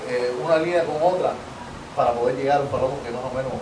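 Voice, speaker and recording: A man's voice: mid-range voice, quick pace. A man in his thirties with a Cuban accent, chatting in an interview on an outdoor patio, repeating and restarting his phrases emphatically. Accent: Cuban Spanish. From Cuba. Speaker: pigeon breeder guest. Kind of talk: casual interview. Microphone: far camera. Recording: echoey, distant camera audio, noisy.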